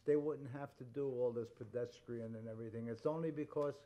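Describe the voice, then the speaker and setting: A man's voice: medium pitch, fairly even intonation, fairly quick, muffled recording. A man on a zoning board asking the presenter hesitant, clarifying questions. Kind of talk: questions at a public meeting.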